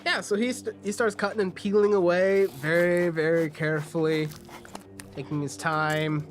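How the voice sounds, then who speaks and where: A man's voice: a little high, steady tone, moderate pace, faint music behind. A man improvising descriptive narration as game master, phrasing it piece by piece.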